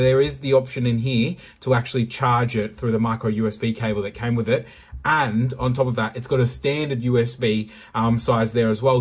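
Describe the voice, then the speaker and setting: A man giving a casual, loosely worded product review in a slightly husky voice. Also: mid-range voice.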